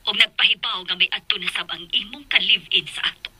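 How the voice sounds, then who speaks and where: An actress in a radio drama playing a suspicious, stern middle-aged mother with a husky voice. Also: medium pitch, brisk, pushed and bright.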